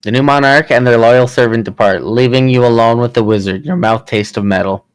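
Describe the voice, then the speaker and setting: A man's voice: mid-range voice, a little fast, smooth, no room sound. A man reading scripted fantasy story narration aloud as a player in a party game.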